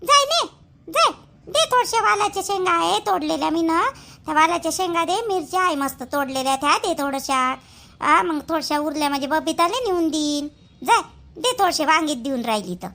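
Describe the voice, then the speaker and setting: A woman voicing a brisk, bossy but generous village mother-in-law in a family cartoon, insistent, with a Varhadi Marathi accent. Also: very high voice, animated, bright, forceful tone, a little hiss or hum, heavily acted. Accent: Varhadi Marathi (Vidarbha). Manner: questioning, insistent. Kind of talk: animated family drama acting.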